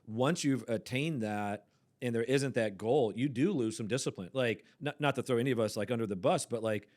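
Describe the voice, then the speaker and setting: A man in his forties hosting a church podcast, chatting casually with quick asides and easy laughs. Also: medium pitch, a little mellow. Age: 40s. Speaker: podcast host, pastor. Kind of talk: casual chat.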